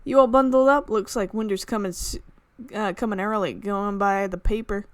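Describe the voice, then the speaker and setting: A woman voicing a gruff, tactless working woman in a visual novel, with blunt, casual grumbling. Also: mid-range voice, fairly even intonation, moderate pace, no echo, lightly acted.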